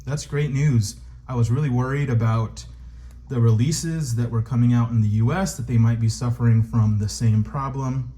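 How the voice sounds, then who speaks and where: A man recovering from a cold and a little stuffy, chatting excitedly to viewers as he unboxes and reviews a toy. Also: medium pitch, moderate pace.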